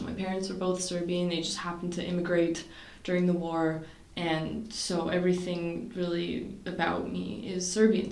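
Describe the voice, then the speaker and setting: A young woman from Canada talking casually to camera about her projects, chatty and rambling with many fillers and restarts. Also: mid-range voice. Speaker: vlogger. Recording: roomy sound, mic at a distance.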